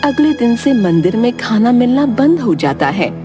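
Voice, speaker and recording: A woman's voice: on the high side, sing-song, moderate pace. A woman reading scripted narration for a cartoon moral story. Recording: music behind the voice.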